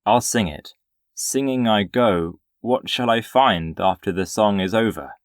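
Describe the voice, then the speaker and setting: An actor playing a brooding young poet beset by phantoms in a verse drama, lyrical and declamatory. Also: male voice, lowish voice, a little slow, dry recording, studio quality, close to an everyday voice. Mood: resolved, musing.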